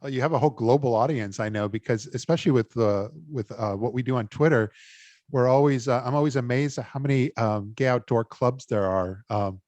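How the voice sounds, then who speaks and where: A man's voice: medium pitch, brisk, a little mellow, dry recording. Man, interview host, hesitant, lots of restarts, dry close sound. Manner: hesitant, many fillers and restarts. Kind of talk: casual interview talk.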